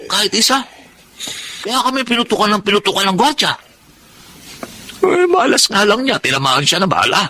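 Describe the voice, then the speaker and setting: Rueful small-time convict in radio drama; gravelly, colloquial and animated, faint noise behind. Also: male voice, a little high, heavily acted. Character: regretful jailed small-time crook, excitable and grateful. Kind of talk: Tagalog radio drama acting.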